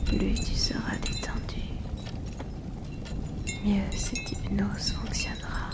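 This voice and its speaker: Woman performing a haughty rich hypnotist, whispered, very slow, close mic. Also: extremely high pitch, huge pitch swings. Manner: soft teasing whisper, unhurried. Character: aloof wealthy woman hypnotist, teasing. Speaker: ASMR roleplay performer. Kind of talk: whispered ASMR hypnosis roleplay.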